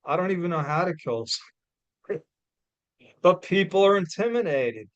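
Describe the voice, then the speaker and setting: A man with a New York accent giving a loud, blunt, emphatic motivational talk as a guest speaker. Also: high-pitched, expressive, unhurried, no echo, highs cut off.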